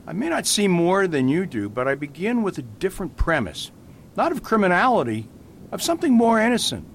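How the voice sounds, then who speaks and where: Man playing an animated, lightish-voiced priest detective. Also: a little fast. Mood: thoughtful, amused. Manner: animated, patiently explanatory. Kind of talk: radio mystery acting. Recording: faint rumbling background noise.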